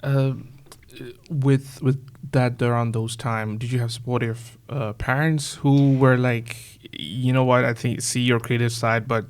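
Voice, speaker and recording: Guy hosting a podcast, mid-pitched voice, asks questions kinda haltingly. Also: little pitch movement, smooth. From Bangladesh. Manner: thoughtful questions with halting restarts. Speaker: podcast host. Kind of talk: conversational podcast interview.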